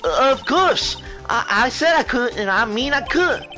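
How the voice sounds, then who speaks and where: Game witness, female, played by a man: high-pitched, folksy, indignant, blustering, swoops around over music. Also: expressive, measured pace, pushed and bright, full character voice. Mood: indignant, flustered. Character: drawling, defensive young woman witness, indignant and flustered. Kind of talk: video game character voice-over.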